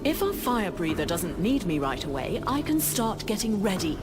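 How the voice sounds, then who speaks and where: An actress playing a skilled, earnest sorceress in a video game, with a measured, explanatory delivery. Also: female voice, medium pitch, big pitch swings, heavy background noise, close to an everyday voice. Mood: calm, assured. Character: skilled, earnest female sorceress who probes the dead.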